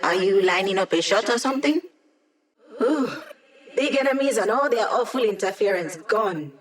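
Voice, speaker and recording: A woman's voice: medium pitch, animated, normal speed, close to an everyday voice. A woman voicing a wry, upbeat young hacker who lives as a digital mind, in a casual, teasing video game performance. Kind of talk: video game character voice lines.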